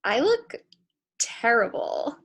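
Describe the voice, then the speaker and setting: A woman's voice: on the high side, sing-song, slow, a bit bright, full character voice. A woman playing a wary, blunt, indignant schoolgirl in a comic stage play reading. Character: skeptical, indignant schoolgirl meeting her future self. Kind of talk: stage comedy acting in a table read.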